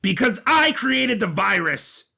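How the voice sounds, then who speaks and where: A man's voice: high-pitched, big pitch swings, slow pace, gravelly, pushed and bright, voice pushed up, heavily acted. A man voicing a cocky male robot schemer, a twin brother with a glib, sardonic manner. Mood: defensive.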